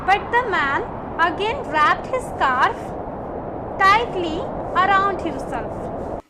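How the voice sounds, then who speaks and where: A woman reading a scripted children's story as a storyteller and teacher, slowly and deliberately. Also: very high-pitched, expressive, heavy background noise.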